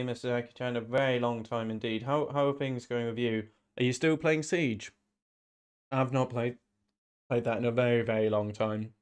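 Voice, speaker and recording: A man with a slight cold chatting casually as a live-stream host, rambling and often repeating his phrases. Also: lowish voice. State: slight head cold, sniffly. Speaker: streamer hosting a football watch-along.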